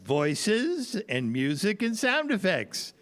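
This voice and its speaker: Slow, higher-voiced man presenting. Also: wildly swooping pitch, a bit bright. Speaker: presenter at an audio theater show. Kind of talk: scripted stage presentation to a family audience. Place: live event at a public library.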